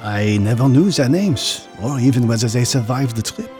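A man's voice: mid-range voice, lively intonation, moderate pace, natural voice. Man as a solemn narrator over music. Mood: solemn, reflective. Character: grown son, solemn narrator recalling his father. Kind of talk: scripted dramatic narration.

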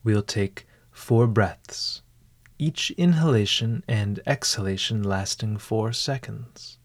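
A man leads a scripted guided meditation at a slow pace. His voice sits a little low, and his delivery is formal and measured. The recording is dry, with very little room sound. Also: dry recording. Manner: formal, measured, eloquent phrasing.

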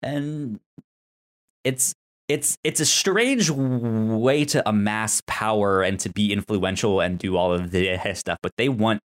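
A man co-hosting a casual podcast discussion, explaining things haltingly and stuttering on words with repeated syllables. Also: fairly low pitch. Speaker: podcast host.

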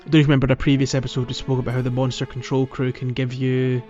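A man's voice: mid-range voice, monotone, quick pace, a little mellow, soft background music. Man chatting on a lo-fi gaming podcast. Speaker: podcast co-host. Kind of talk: casual video game podcast chat.

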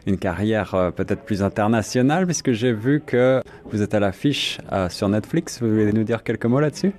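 A man's voice: lowish voice, lively intonation, moderate pace, a little mellow, a little hiss or hum. A man hosting a French-language radio interview in the studio, speaking with frequent hesitant fillers. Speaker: radio host.

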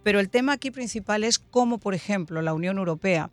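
A woman's voice: medium pitch, fast. A woman with a Spain accent interviewing a guest, hesitant, with restarts and fillers as she asks questions.

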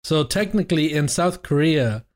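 A man in his twenties co-hosting a casual podcast chat, excitable and curious, laughing often and asking questions over a call mic. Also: fairly high pitch, normal speed. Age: 20s. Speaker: podcast co-host.

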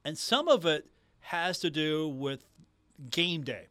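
A man's voice: on the high side, sing-song, slow, pushed and bright, no echo. A man hosting sports radio, talking casually and emphatically to listeners on a close studio mic. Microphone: close studio microphone.

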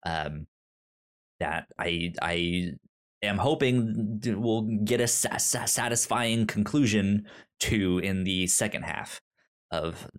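A man co-hosting a podcast, talking casually and haltingly, repeating words as he thinks aloud. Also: lowish voice. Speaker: podcast co-host. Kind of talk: casual book discussion.

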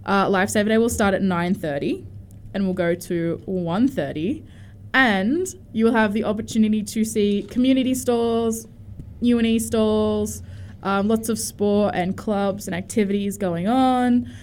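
A woman's voice: medium pitch, a little slow, a little mellow, slight background noise. A young woman hosting a student radio show, chatting in a bubbly, giggly, rambling way. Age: young adult. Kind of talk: casual radio chat.

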